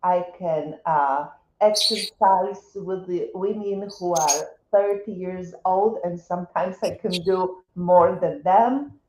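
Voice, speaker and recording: A woman's voice: fairly low pitch, takes it slowly, few pauses, a little mellow, reverberant, a little hiss or hum, rough recording. A woman in her seventies from Poland, speaking with a Polish accent as an interview guest, talking in a hesitant way with many restarts and fillers. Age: 70s. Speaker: interview guest, wellness coach. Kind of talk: casual interview conversation.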